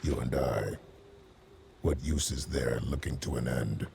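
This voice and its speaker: An actor playing a grim, vengeful undead killer in a game, with a gravelly rasp and a terse, menacing delivery. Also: male voice, a little slow, a little mellow, slight background noise, full character voice. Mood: guarded, cold. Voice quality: very deep gravelly rasp, at times breathy. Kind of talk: cinematic game acting.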